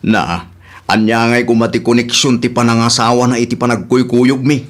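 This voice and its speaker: A man's voice: medium pitch, steady tone, normal speed, natural voice. A man playing an irritable, teasing husband in a comedy radio drama, with a Filipino accent and bantering drawl. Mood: irritated.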